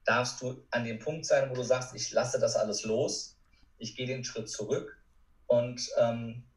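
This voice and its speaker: A man's voice: medium pitch, fairly even intonation, unhurried. A man speaking as a podcast guest and coach, telling his own story reflectively and earnestly with emphatic repetition. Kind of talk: reflective personal account in a podcast interview. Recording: roomy, echoing sound.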